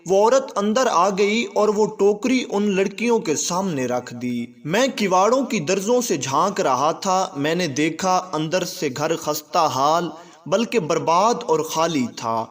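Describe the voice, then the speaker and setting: A man with a fairly high voice reads a religious tale as a storyteller. His delivery is scripted narration, with formal, literary wording and a devout turn of phrase. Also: animated, measured pace.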